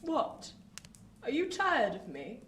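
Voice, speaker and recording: A woman's voice: high-pitched, huge pitch swings, slow pace, fairly bright tone, reverberant, a little hiss or hum, exaggerated voice. An actress playing an embittered, deranged old adoptive mother in a radio play, declaiming in anguish and repeating her phrases. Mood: wounded. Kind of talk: theatrical radio play acting.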